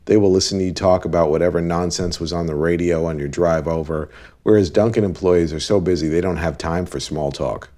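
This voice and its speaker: Very low male voice, deadpan, blunt, advice-giving driver played by a male actor, cartoon dialogue. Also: fairly quick, close to an everyday voice. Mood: dry, explanatory. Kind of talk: animated series dialogue.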